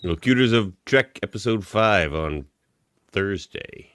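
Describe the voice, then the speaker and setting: A man's voice: fairly low pitch, expressive, slow, a bit bright, clear, resonant tone, dry recording, studio quality. A man co-hosting a podcast, casually plugging upcoming episodes in conversation. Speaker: podcast co-host. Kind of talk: casual show promotion chat.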